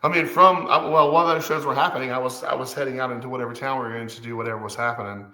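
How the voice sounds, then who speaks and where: A man's voice: medium pitch, steady tone, racing, bright, forceful tone. Easygoing man in his forties, echoey room. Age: 40s. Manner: easygoing, hesitant, many fillers and restarts. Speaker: guest musician. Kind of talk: casual conversation.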